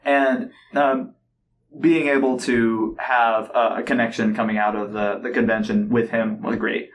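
A male podcast host chats casually at a medium pitch. He is animated and teasing, with laughter never far off. Faint noise and ambience sit behind his voice. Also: unhurried. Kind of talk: casual chat. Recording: noisy conversational recording with background ambience.

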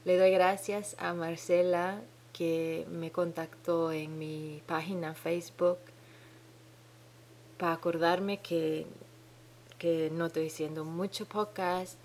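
Slow, hesitant woman with a Dominican-tinged Spanish accent. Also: on the low side, level tone, a little mellow, slight background noise. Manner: hesitant, searching for words, frequent restarts. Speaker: podcast host. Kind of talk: solo podcast monologue. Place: home in New Zealand.

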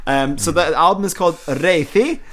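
A man's voice: a little high, normal speed, fairly bright tone, a little hiss or hum. A man, chatting casually and enthusiastically as a music podcast host reviewing albums.

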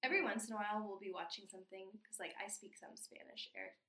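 A woman's voice: mid-range voice, wildly swooping pitch, quick pace, fairly bright tone, echoey room. A woman co-hosting a podcast, casually recapping a cartoon episode with frequent laughs. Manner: laughs often, chatty, drops to a near whisper at times. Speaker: podcast co-host.

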